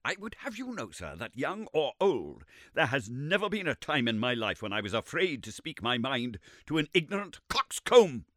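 A man voicing an irritable, sarcastic elderly male professor who scolds in a shrill, indignant voice. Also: sing-song, moderate pace, bright, forceful tone, no room sound, studio quality. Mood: scornful.

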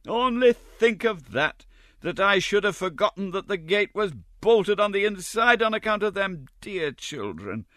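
A man voicing a fawning, scheming middle-aged woman nurse with a shrill, gushing, wheedling manner. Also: expressive, unhurried, sharp tone, no room sound, studio quality, exaggerated voice.

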